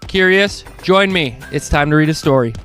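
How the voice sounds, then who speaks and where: Guy reading kids books out loud, highish voice goes up and down a lot, bit of music behind. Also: normal speed. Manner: animated, speaks directly to young listeners. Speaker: host of children's read-along show. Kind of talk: reading picture books aloud to children.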